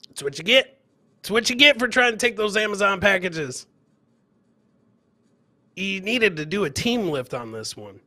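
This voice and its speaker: Middle-aged man, bright forceful voice, slightly high, casual jokey live host, repeats phrases. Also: expressive, normal speed, long pauses, dry recording. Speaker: comedy show host. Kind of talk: casual live commentary on viral clips, chatting with viewers. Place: indoor home studio, webcam livestream.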